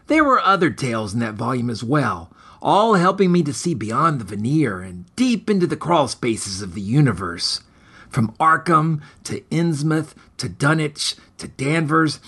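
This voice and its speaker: A man narrates as host and author with a wry, mock-grave air. His pitch moves expressively through ornate phrases, and his voice has a slightly rough, husky finish. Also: fairly bright tone. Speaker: podcast host and author reading his own story. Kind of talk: wry introduction and dramatic story narration.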